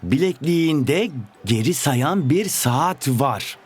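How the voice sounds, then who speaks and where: An actor playing a plucky, teasing young football hero in a Turkish cartoon dub. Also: male voice, a little high, lively intonation, takes it slowly, a little hiss or hum. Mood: determined.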